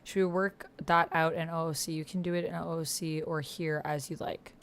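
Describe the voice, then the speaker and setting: A woman's voice: sits low, little pitch movement, moderate pace, fairly bright tone. A woman chatting casually on a livestream as she plays a text roleplaying game, thinking aloud and reading messages out as she types. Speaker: streamer and player of a text-based roleplaying game. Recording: noticeable background noise with keyboard typing. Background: computer keyboard typing.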